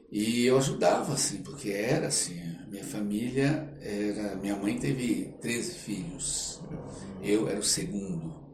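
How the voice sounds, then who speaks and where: An older man speaks slowly in a lowish voice. He reminisces in a halting way, searching for words and adding little check-in tags. The sound is echoey and set back from the mic. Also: a little mellow. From Belém, Pará, Brazil. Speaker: podcast guest, theatre artist. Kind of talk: reminiscing in a casual interview. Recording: roomy echo, off-mic, faint background noise.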